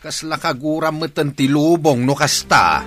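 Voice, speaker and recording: A man's voice: a little high, moderate pace, music in the background, lightly acted. A Filipino man playing a middle-aged, concerned father who urges his daughter in an Ilocano radio drama. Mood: urging, troubled.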